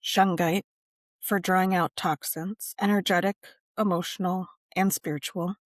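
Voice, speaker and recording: A woman narrating a scripted guided meditation and ritual in measured, deliberate phrases with frequent pauses. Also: fairly low pitch, fairly even intonation, no room sound, clean studio sound.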